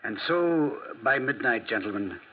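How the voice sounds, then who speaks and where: An actor playing a composed, formal storyteller narrating a 1940s radio drama. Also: male voice, mid-range voice, animated. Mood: storytelling. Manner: formal, deliberate. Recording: muffled, hissy 1940s radio recording.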